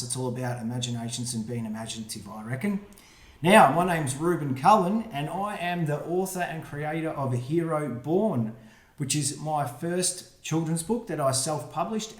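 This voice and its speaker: A man, the book's author, reading his rhyming picture book aloud for children, with quiet asides about the pictures. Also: on the high side. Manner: friendly, reads rhyming verse with quiet asides. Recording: roomy echo.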